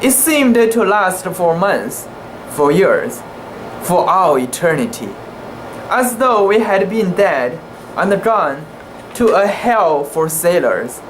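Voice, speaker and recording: An amateur narrator with a mid-pitched voice reads a literary sea story as a dramatic piece. The pace is slow and careful, with halting stumbles and imperfect pronunciation. A faint steady hum of room ambience sits behind the voice. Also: low-quality recording.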